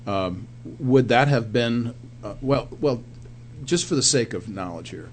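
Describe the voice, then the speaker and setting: A man's voice: mid-range voice, expressive, measured pace. A man hosting a radio show, interviewing guests in a conversational style. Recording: background noise and hum.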